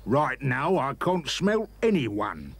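A man voicing a huge, grumpy, man-eating storybook giant with slow, booming, chanting delivery. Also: big pitch swings, gravelly, slightly rough, exaggerated voice. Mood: menacing.

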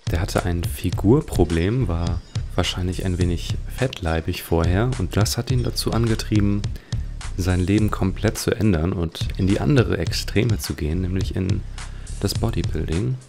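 A man's voice: deep voice, moderate pace, vocal fry. A man giving casual, reflective commentary as he plays a game, musing as he goes. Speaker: let's player. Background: game music.

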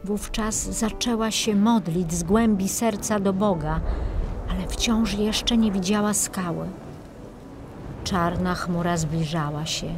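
A woman reading a children's fairy tale as scripted narration, in a measured, expressive storytelling manner. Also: mid-range voice. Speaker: main narrator of a children's audiobook. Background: music.

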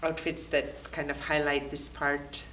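A woman's voice: sits low, little pitch movement, measured pace, a bit bright. A woman giving short, playful answers in a studio interview. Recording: distant mic with faint noise.

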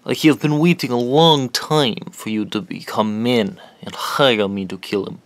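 An actor playing a menacing, brooding foreign hired killer in a radio drama, with deliberate, theatrical pauses and sudden swells. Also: male voice, mid-range voice, dry recording, natural voice. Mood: brooding intensity. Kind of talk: comic-book radio drama acting.

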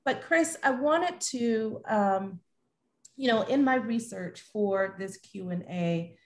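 A woman's voice: on the high side, expressive, slow. Middle-aged woman moderating online. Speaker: host, moderator. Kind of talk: moderating a live online panel. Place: indoor home room, video call.

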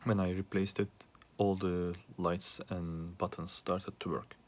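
A man giving an unscripted troubleshooting demonstration as a hobbyist repairer, speaking haltingly with frequent pauses and hesitations. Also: low voice, flat delivery, a little mellow. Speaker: hobbyist repairer asking viewers for help. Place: small indoor workspace at a repair bench.

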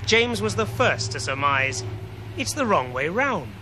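English man, TV reporter, high-pitched voice, wry measured delivery, deliberate pauses, 1970s film sound with light noise. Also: big pitch swings. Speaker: television news reporter. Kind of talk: scripted investigative report, to camera and voice-over.

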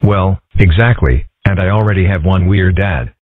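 Man as a low, husky cartoon animal kid. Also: normal speed, no echo. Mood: deadpan. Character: young cartoon animal boys, earnest and deadpan. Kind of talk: scripted comic-strip dub. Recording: dry, muffled phone-like audio.

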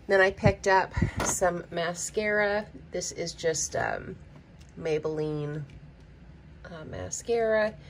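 Chatty middle-aged Southern woman, lowish voice. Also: expressive, slow pace, slight background noise. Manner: chatty, rambling, many ums, baby talk to her cat. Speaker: budgeting vlogger. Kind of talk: casual haul narration. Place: home kitchen.

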